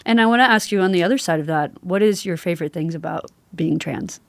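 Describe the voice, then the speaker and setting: A woman's voice: on the low side, fast talker, gravelly, no room sound. A woman hosting a casual interview, chatty and affirming as she talks with her guest. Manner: chatty, affirming, encouraging listener. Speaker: podcast host, interviewer.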